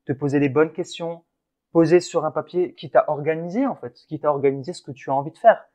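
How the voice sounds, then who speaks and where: A man's voice: on the high side, fast talker, darkish tone. A man co-hosting a casual motivational podcast, talking in an earnest, encouraging way with frequent restarts. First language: French. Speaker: podcast co-host.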